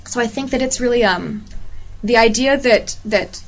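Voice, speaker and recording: A woman's voice: a little high, moderate pace. A woman who tutors people for quality certification exams, explaining her points earnestly and emphatically with repeated examples. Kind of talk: explanatory monologue. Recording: slightly muffled lo-fi audio with faint hiss.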